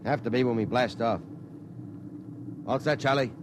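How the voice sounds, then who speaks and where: Old radio play: male actor as a clipped, tough ship pilot, ordinary pitch, clear hiss behind. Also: normal speed, lightly acted. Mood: curt. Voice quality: blunt, clipped speech. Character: gruff, tough working-man rocket pilot. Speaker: spaceship pilot. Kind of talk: 1950s radio drama acting. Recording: hissy 1950s radio broadcast.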